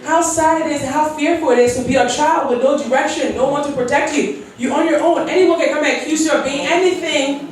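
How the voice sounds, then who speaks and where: Woman in her 40s from liberia giving a keynote, earnest storytelling, kinda high voice, heavy echo. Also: a little fast, slight background noise. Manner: earnest, storytelling, with quoted prayers and light laughs. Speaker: keynote speaker. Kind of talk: personal speech at an event. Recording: very echoey hall, voice from far off.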